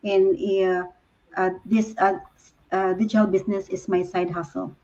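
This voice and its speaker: A woman from the Philippines, a guest answering interview questions in a halting way with restarts. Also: medium pitch, flat delivery, dark tone. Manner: halting, many restarts and fillers. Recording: dull lo-fi audio.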